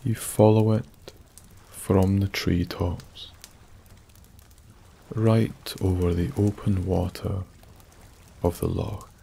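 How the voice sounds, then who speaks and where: A Scottish man narrating a bedtime story in a soft-spoken, soothing ASMR style, with long pauses. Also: low voice, flat delivery, creaky. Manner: slow, soothing, hushed delivery with long pauses. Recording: faint background noise. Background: soft nature ambience.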